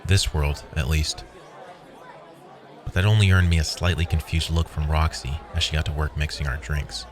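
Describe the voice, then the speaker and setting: A man plays a weary, wry programmer telling his own story in a scripted audio drama. His voice sits extremely low, with clear music playing behind the narration. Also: fairly even intonation, moderate pace, crowd noise. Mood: dry banter.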